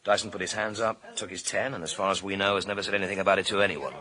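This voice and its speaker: An actor playing a driven, blunt British police inspector in a radio thriller, with a brisk, commanding, slangy manner. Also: male voice, low-pitched, fairly even intonation, a crowd in the background, lightly acted, rough recording. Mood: businesslike. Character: driven, blunt male police inspector leading a murder hunt.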